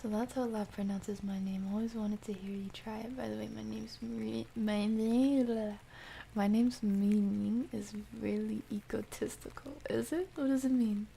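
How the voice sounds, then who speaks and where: A soft-spoken woman hosting an ASMR livestream, chatting casually and gently, often dropping toward a whisper. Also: mid-range voice, steady tone, normal speed, vocal fry, a little hiss or hum. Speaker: livestream host. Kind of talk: ASMR livestream, casual chat reading and answering viewer comments.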